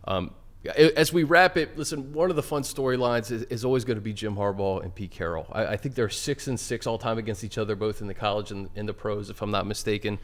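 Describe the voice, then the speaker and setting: A man's voice: on the low side, fast. A man hosting a casual sports talk show, conversational and steering the chat to new topics. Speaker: show host.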